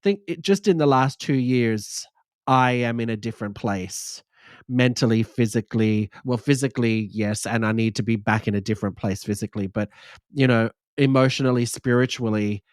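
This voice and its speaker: A man's voice: medium pitch, normal speed, a little mellow, no echo. A man in his forties hosts a casual chat, speaking earnestly and reflectively with many agreeing fillers. His voice sits mid-range, and the sound is dry and close, with little room around it. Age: 40s. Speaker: podcast host.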